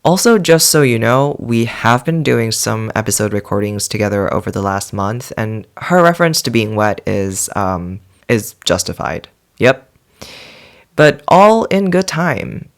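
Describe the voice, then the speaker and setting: A man's voice: fairly low pitch, lively intonation, a little slow, creaky. Earnest podcast host, a man. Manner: earnest, enthusiastic, sincere. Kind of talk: warm scripted podcast intro.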